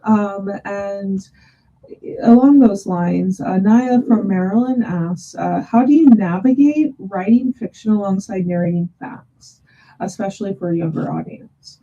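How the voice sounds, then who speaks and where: Very mellow, creaky-voiced woman, muffled audio. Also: mid-range voice. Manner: hesitant, halting phrasing with frequent pauses. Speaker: host, English teacher. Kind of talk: webinar interviewing, reading out audience questions.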